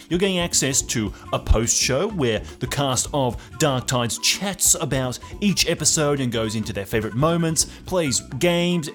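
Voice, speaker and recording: A cheery pitchman played by a man delivers a podcast promo in a salesy way. The voice is pushed higher and louder than everyday talk, with faint music. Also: close to an everyday voice. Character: upbeat pitchman plugging a podcast to listeners.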